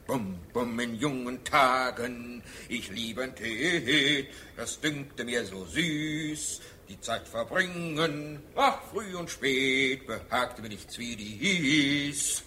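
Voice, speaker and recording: A brooding man declaims classical verse in a radio drama, slowly and with drawn-out phrases. His middle-pitched voice sits in very noisy, watery background sound. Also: expressive, bright, forceful tone. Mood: mocking, declamatory. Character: brooding theatrical man reciting Hamlet, shifting from bluster to hush. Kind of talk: German radio drama acting, declamatory recitation.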